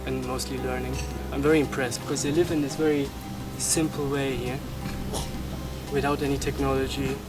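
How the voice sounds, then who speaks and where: Even-toned young man over loud music. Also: medium pitch, normal speed. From Germany, grew up in Portugal. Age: 20s. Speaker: event volunteer being interviewed. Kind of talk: casual personal account. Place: outdoors in a mountain village.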